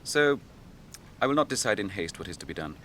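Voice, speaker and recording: Noble grieving warrior captain, low voice, expressive melody, stately archaic phrasing, fantasy radio drama, noisy rumbling recording. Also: male voice. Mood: grave, probing. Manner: measured, formal, archaic speech. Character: grave, noble young captain of Gondor, grieving his brother.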